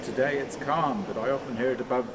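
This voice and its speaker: An actor playing a haunted, restless man in a radio play monologue, halting and repetitive, moving between muttering and command. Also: mid-range voice, lightly acted. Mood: rambling, bitter reminiscence. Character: haunted, rambling man talking to his dead father, brooding and restless. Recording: clearly noisy, steady ambient sound.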